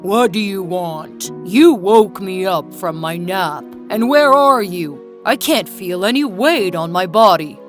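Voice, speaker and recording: A woman voicing a proud, boastful male rock in a storybook tale, speaking deep, slow and lecturing. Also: lively intonation. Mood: grumpy. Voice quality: deep, booming. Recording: soft children's music behind.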